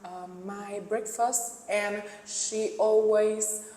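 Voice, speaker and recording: A young woman from Vietnam, a student speaking English with a Vietnamese accent, who hesitates and pauses with um and uh. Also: mid-range voice, little pitch movement, dark tone. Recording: echoey hall.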